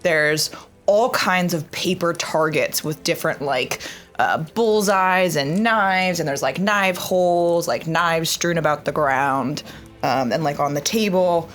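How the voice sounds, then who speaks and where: Animated woman player arguing in character. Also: low voice, measured pace, faint music behind. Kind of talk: tabletop roleplay, in character.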